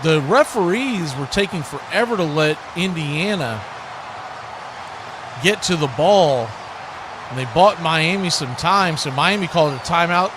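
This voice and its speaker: Higher-pitched American man calling football, noisy stream. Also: expressive, a little slow. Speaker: play-by-play sports commentator and stream host. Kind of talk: live American football commentary with casual chat.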